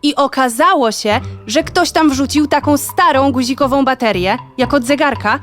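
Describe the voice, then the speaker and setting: A woman's voice: high voice, moderate pace, soft background music, lightly acted. A woman voicing a knowing, boastful little toy car in a children's audio play, eagerly explaining and beeping. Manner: eager, explaining, punctuated with beeps. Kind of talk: children's audio drama acting.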